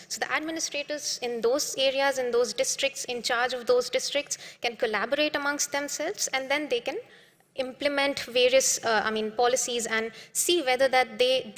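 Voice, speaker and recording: High-voiced woman in a reverberant hall. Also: a bit bright. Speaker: contestant answering a question. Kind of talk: unscripted explanation at a conference. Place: large hall at a conference. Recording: echoey hall with long reverb.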